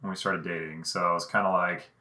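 A man in his late twenties, born in Belgium, a relaxed podcast guest who laughs often and trails off quietly. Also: deep voice, fast talker. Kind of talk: casual chat.

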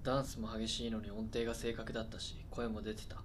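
A man's voice: fairly low pitch, level tone, fast, background noise, natural voice. A man acting a defensive, awkward childhood friend in his twenties in a radio drama, hedging and speaking in blunt casual phrases. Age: 20s. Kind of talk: naturalistic radio drama dialogue.

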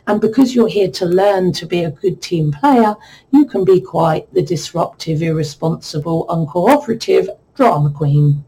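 A woman giving a chatty, repetitive numerology reading, her voice croaky and a bit worn from the change of season. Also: lowish voice, expressive, normal speed, dark tone, poor audio. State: voice croaky from a cold spell. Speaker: numerology reader. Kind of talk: explanatory numerology reading monologue.

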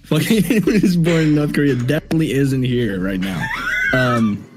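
A man's voice: medium pitch, expressive, moderate pace. A young man from Washington State, a streamer and rapper, chatting as a guest over a video call, swearing freely and laughing often. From United States (Washington State). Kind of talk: casual chat.

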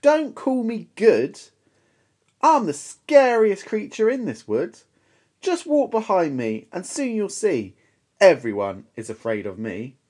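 A woman voicing a small, plucky, boastful mouse in a storybook, brisk and emphatic in a cheeky way. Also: medium pitch, very animated, warm tone, pitched above the performer's own voice. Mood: bold, boastful.

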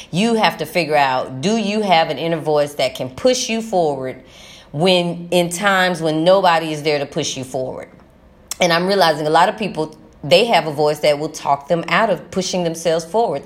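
A woman hosting a podcast, speaking in a casual, reflective motivational monologue and repeating phrases for emphasis. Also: fairly low pitch. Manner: conversational, emphatic repetition for stress. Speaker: podcast host.

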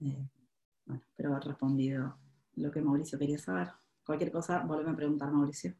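A woman with a Rioplatense Spanish accent gives career advice in a live webinar, her voice sitting a little low. She thinks aloud, pausing and restarting often. The room around her sounds echoey. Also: level tone, vocal fry, warm tone. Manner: thoughtful, many hesitations and restarts.

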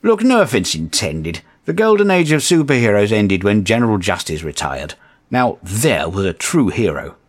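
A man's voice: lowish voice, sing-song, moderate pace. A man playing an earnest, officious and exasperated agency chief in a comedic audio drama. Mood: defensive. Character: exasperated, earnest male agency chief briefing misfit heroes.

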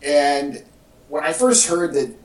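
A man talking casually as a podcast co-host, in a halting, conversational way. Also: on the high side, animated. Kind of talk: casual chat about movie news. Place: indoor room with echo. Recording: echoey room, distant from mic.

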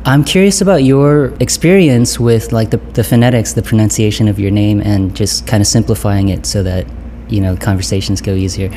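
A man's voice: on the low side, fairly quick, slight background noise. A man in his thirties, born in Vietnam, hosting a casual interview podcast and laughing often. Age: 30s. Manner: friendly, laughs often, relaxed pace. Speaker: podcast host.

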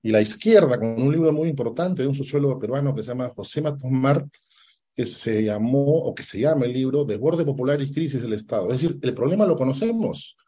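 A man, a political analyst answering interview questions, deliberate and sometimes stopping to search for a word. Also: medium pitch, expressive, dark tone, slightly rough. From Peru. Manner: deliberate, stops to search for words.

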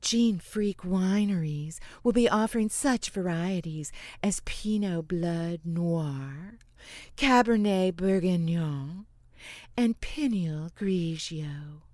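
A woman playing a washed-up, long-suffering news anchor, reading mock radio news in a measured deadpan. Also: fairly low pitch, no room sound, clean studio sound.

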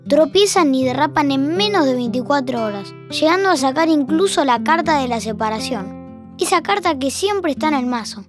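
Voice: high voice, animated, moderate pace. A young-sounding performer with an Argentine accent, narrating a scripted audio drama as a wry storyteller. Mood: reflective. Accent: Argentine Rioplatense. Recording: music behind the narration.

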